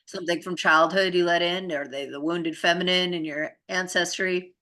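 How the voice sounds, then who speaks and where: A middle-aged woman co-hosting a spiritual talk show, explaining in a casual, halting, searching way. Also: lowish voice, fairly even intonation, pushed and bright. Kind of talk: casual conversational chat.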